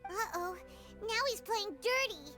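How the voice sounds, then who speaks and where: A woman voicing a tiny, excitable female fairy companion in a game. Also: a little slow, soft background music, full character voice. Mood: excited. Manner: squeaky, excitable.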